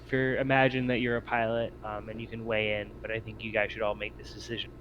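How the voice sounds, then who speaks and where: A man with a fairly low voice acts as game master for a tabletop roleplaying game. He narrates casually and conversationally, in an easygoing way. The audio is a little dull, with faint noise behind him. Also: level tone, quick pace.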